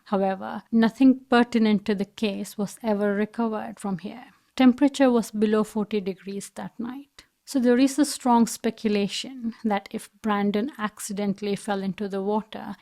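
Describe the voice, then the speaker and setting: A woman's voice: mid-range voice, creaky, darkish tone. Woman narrating a true crime story. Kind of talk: scripted documentary narration.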